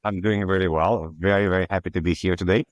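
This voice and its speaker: A man's voice: deep voice, fairly even intonation, fast, dry recording. A man from Kyiv with a Russian accent, a non-native English speaker, answering interview questions earnestly in slightly broken English. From Kyiv, Ukraine. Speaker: guest, cybersecurity architect.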